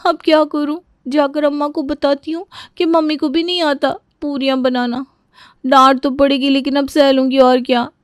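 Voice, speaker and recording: A woman voicing an earnest, expressive young daughter-in-law in a Hindi cartoon story, pleading and eager. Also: sits high, normal speed, dry recording, clean studio sound, natural voice. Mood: distressed.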